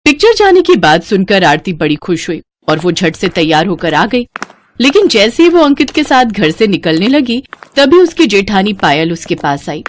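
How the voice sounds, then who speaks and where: A woman narrating a scripted cartoon family story in an expressive storytelling style. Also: medium pitch, normal speed, a little hiss or hum.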